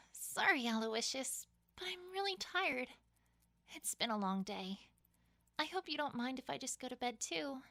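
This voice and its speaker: Woman voicing an earnest, high-pitched young pony student. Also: lively intonation, measured pace, gravelly, pushed and bright, dry recording, exaggerated voice. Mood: tired. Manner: earnest, reflective. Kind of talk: dramatized storybook acting.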